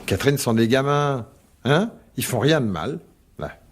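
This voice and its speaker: Man acting a tired husband in a radio mystery, mid-pitched, sighing, coaxing and brushing things off. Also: animated, moderate pace, lightly acted. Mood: placating. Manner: colloquial, sighing, placating. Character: weary, placating husband, dismissive yet coaxing.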